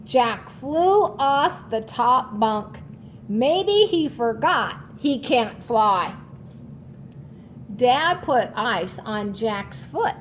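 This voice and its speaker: Woman, higher-pitched, very lively intonation, instructive storybook read-aloud, rumbly background noise. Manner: instructive, checks understanding with short asides. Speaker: read-aloud teacher reading a children's book.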